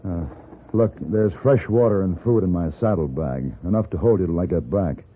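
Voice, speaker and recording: An actor playing a calm, wry American gunfighter in an old-time radio western, measured and plain-spoken in narration and dialogue. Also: male voice, low voice, breathy edge. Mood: concerned, helpful. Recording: tinny old radio broadcast with music.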